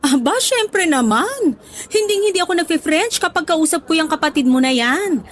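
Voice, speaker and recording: A woman playing a doting, gently scolding middle-aged mother in a radio drama, emphatic and motherly. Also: extremely high pitch, lively intonation, moderate pace. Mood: reassuring. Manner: motherly, emphatic, fond exasperation. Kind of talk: Tagalog radio drama acting.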